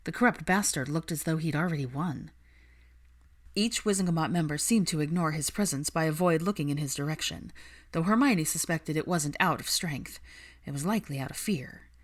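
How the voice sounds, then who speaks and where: A woman's voice: low voice, fairly quick. A woman reading fiction aloud as an audiobook narrator, in a scripted narration style.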